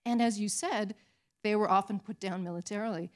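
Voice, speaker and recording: A woman with a medium-pitched voice speaks as an academic questioner at a live talk. She is polite and articulate, building her point in an explanatory way. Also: brisk, darkish tone, a little hiss or hum. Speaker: audience questioner, academic specialist. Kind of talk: spoken question at a public talk.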